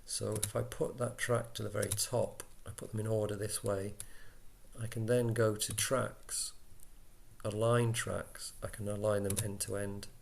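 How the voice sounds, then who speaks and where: Male software trainer, lowish and creaky, informal and tentative, on a laptop mic with light noise and key clicks. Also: level tone, a little slow. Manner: casual, hesitant, thinking aloud. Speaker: tutorial presenter for radio volunteers. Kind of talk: informal software screencast tutorial. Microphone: built-in laptop microphone.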